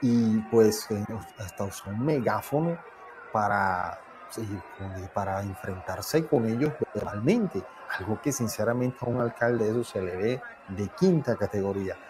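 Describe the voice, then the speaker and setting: A man's voice: lowish voice, animated, a little slow, over music. A man in his fifties giving informal, disapproving news commentary to camera from an indoor room. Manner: disapproving, colloquial. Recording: crowd noise behind voice.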